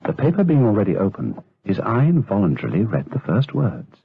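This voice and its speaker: A grave storyteller in a gothic radio drama. His low voice gives solemn, literary narration in measured phrases. The recording sounds narrow and dated. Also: wildly swooping pitch, slight background noise.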